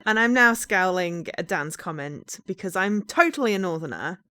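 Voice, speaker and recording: A woman's voice: on the low side, expressive, moderate pace, pushed and bright, no echo, clean studio sound. A young woman from the East Midlands with a fairly neutral English accent, chatting with her live-stream viewers while she plays a game, laughing often and teasing back. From England, East Midlands.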